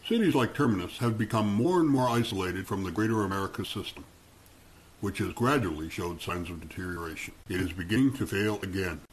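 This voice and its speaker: A man's voice: sits low, fairly quick, a little hiss or hum. A man reading scripted narration for a radio play.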